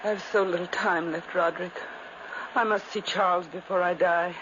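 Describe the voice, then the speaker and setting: A woman's voice: fairly low pitch, fairly even intonation, moderate pace, close to an everyday voice. Actress as a dying, imperious gothic noblewoman, noisy old recording. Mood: urgent pleading. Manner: theatrical, imperious, pleading. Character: dying, fearful, domineering twin sister, Lady Madeline. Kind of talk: 1950s radio drama acting. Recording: muffled, noisy 1950s radio recording.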